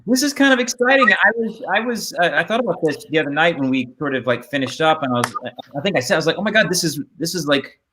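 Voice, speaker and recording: A middle-aged man hosting a casual theater chat over a video call, talking in halting, trailing phrases that he keeps reworking. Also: fairly high pitch, expressive, bright, forceful tone, a little hiss or hum, poor audio. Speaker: theater host introducing cast. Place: indoors at home or studio, on a video call.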